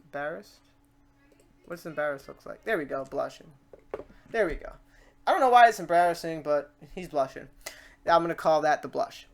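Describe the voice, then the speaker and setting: A tutorial host of unclear sex talking casually through software steps and often thinking aloud. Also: medium pitch, normal speed, creaky, pauses often, slight background noise. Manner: informal, talks self through steps.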